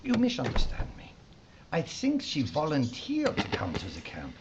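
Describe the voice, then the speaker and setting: An actor playing a treacherous camp officer in an audio drama, knowing and wry. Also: male voice, on the high side, huge pitch swings, normal speed. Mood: bitter explaining. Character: sly, treacherous German Nazi camp officer. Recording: noisy, muffled lo-fi recording.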